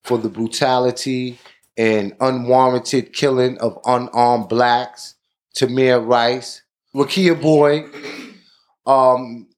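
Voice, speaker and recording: A man hosting a podcast, giving deliberate commentary in a preaching tone. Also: medium pitch, fairly even intonation. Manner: deliberate, preaching tone, frequent "you know". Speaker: podcast host.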